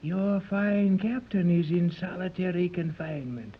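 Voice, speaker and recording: A man's voice: high-pitched, a little slow, husky. A man playing a scornful, menacing mad scientist, pompous, mocking and wordy, in 1930s radio serial style. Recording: tinny, narrow old 1930s radio recording.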